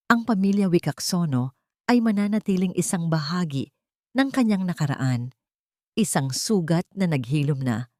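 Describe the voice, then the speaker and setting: Low, mellow Filipino woman narrating. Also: dry recording, clean studio sound. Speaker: audiobook narrator and storyteller. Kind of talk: scripted dramatic story narration.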